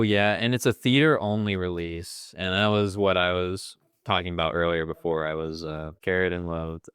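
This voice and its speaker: A man's voice: low voice, smooth, dry recording, studio quality. A man on a school podcast giving his views in long, unbroken stretches. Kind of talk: casual chat.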